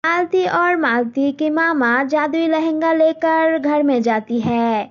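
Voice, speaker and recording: High, slow storybook narrator. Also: female voice. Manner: plain, slightly halting. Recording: dull lo-fi recording.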